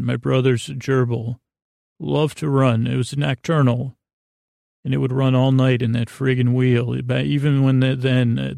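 Very gravelly man rambling at bedtime. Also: mid-range voice, darkish tone, no room sound, studio quality. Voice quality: gravelly, creaky voice. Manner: meandering, halting, self-interrupting. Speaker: podcast host. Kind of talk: rambling bedtime storytelling monologue.

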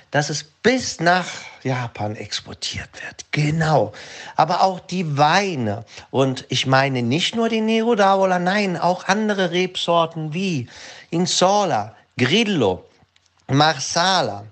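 Italian-accented male guest, voice a little high, flowery and theatrical delivery of a prepared travel pitch. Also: lively intonation, slow, a bit bright. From Sicily, Italy. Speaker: guest contributor sending a voice message. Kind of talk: prepared, presentational travel recommendation.

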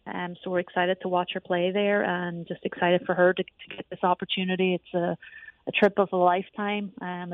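A woman's voice: on the low side, no room sound. A woman with an Irish accent, a soccer coach, talking in a chatty phone interview with many restarts. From Ireland. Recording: tinny phone line.

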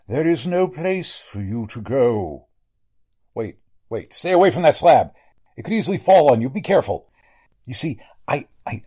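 A sinister doctor from a forties radio thriller, acted by a man. His voice is low and roughened. He talks with formal, measured condescension and calm menace, the pitch swinging widely. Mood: coaxing threat, then alarmed. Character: sinister murderous male doctor, coolly menacing narcotics dealer. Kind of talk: 1940s radio drama acting.

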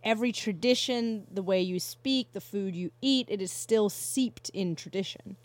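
A young woman in casual conversation, chatty and quick to laugh, often restarting her sentences. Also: medium pitch, no room sound.

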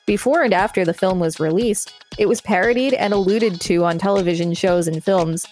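A woman's voice: mid-range voice, brisk, a little mellow, soft background music. A woman co-hosting a podcast, reading encyclopedia text aloud with casual comments. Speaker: podcast co-host.

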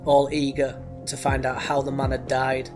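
A man's voice: mid-range voice, completely flat delivery, moderate pace, over music. A man hosting a true crime podcast, narrating a mystery with a head cold. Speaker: podcast host.

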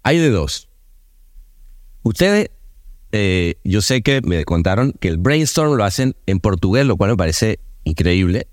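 A man hosts a chat in a playful, halting way, his pitch bouncing around a lot. The sound is dry and close. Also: big pitch swings, no echo. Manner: playful, halting, lots of fillers. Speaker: podcast host. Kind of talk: casual chat.

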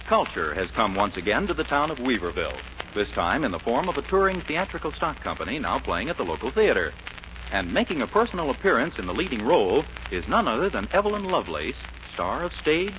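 Male 1940s show announcer: animated, polished, emphatic, on a dull vintage broadcast. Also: mid-range voice, a little fast, noisy background. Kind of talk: 1940s radio announcing, scripted. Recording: muffled 1940s radio recording.

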